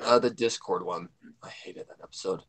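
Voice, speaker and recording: A man's voice: low-pitched, fairly quick, fairly bright tone. A man joining a livestream as a guest over an online call, chatting casually and repeating himself as he rambles.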